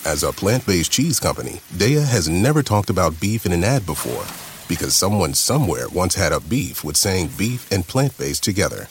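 A man's voice: low-pitched, very animated, moderate pace, slight background noise. A man reading a scripted, wryly humorous advertisement voice-over. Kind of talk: scripted commercial read with wry humour.

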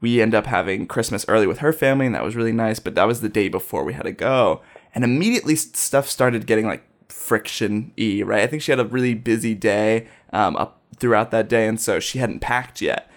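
A man's voice: lowish voice, fairly quick. A young man from Ohio, telling a rambling personal story in a casual, self-deprecating way. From Dayton, Ohio, US.

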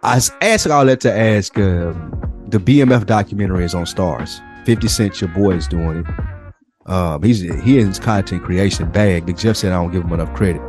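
Male podcast co-host, American, lower-pitched voice, loose profane chat, music underneath. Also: normal speed, darkish tone. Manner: slangy, profane, informal. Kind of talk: casual chat.

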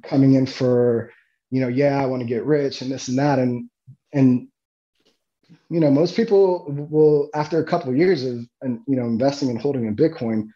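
A man guest in a casual podcast conversation, talking in halting bursts with many restarts. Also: mid-range voice, mellow, muffled recording.

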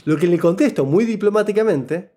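A man from Buenos Aires with an Argentine Spanish accent, an interview guest telling a story and giving job-interview advice in an animated, explanatory way that keeps checking in with the listener. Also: sits high, fairly quick. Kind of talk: casual conversational storytelling.

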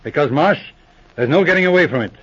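An actor playing a thoughtful, kindly police sergeant who reasons deliberately, in 1940s radio drama style. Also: male voice, fairly high pitch, animated, slightly rough. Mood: thoughtful, puzzled. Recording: muffled vintage radio recording.

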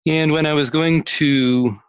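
A man's voice: fairly high pitch, fairly even intonation, slow pace, smooth, dry recording, lo-fi sound. A Canadian man, a tech executive, talking reflectively about his career as a guest on a podcast interview, with frequent restarts.

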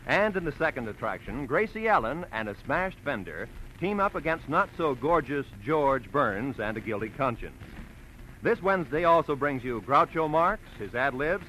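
A man's voice: mid-range voice, bright, forceful tone, a little hiss or hum, low-quality recording. An American man announcing in a polished, emphatic 1940s radio style, reading scripted promos and credits. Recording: vintage radio broadcast with music behind. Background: music.

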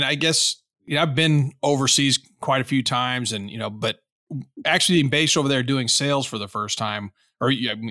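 A man co-hosting a podcast interview, asking halting, searching questions. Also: medium pitch, sharp tone, no echo, clean studio sound. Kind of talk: casual interview.

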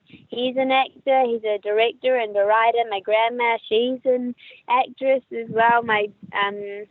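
A young actress with a New Zealand accent talks in a friendly, chatty way, fairly high but with little rise and fall. Her voice comes through a thin, phone-like call line with a dry sound. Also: dry recording. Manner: friendly, chatty, with restarts and laughs. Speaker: actress, interview guest. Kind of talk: casual interview chat. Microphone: remote call. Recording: tinny, dry call audio.

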